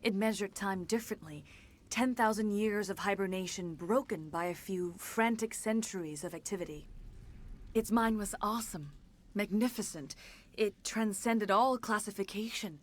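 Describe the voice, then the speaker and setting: An actress playing a centuries-old alien disciple in a video game, speaking formally with grave, measured phrasing. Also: female voice, mid-range voice, close to an everyday voice. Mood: awed, mournful. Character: centuries-old female alien disciple, solemn, remorseful and serene. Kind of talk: video game dramatic acting.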